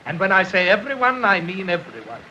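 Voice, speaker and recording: A man's voice: sits high, big pitch swings, a little fast, bright, forceful tone, dull audio, slight background noise, heavily acted. A young man with an English accent playing a teasing, impudent young Englishman in a 1930s film. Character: cheeky, mocking young Englishman.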